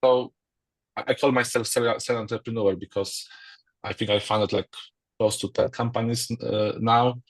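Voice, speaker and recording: A man from Poland with a Polish accent, introducing himself on a video call in a halting way, with many restarts. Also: mid-range voice, steady tone, no echo, low-quality recording. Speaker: entrepreneur guest.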